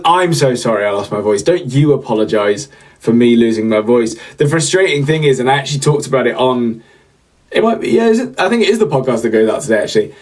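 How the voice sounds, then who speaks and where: A man's voice: medium pitch, a little mellow. Rambling man on a livestream. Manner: rambling, repeats himself, many fillers. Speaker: livestream host. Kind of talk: casual livestream chat.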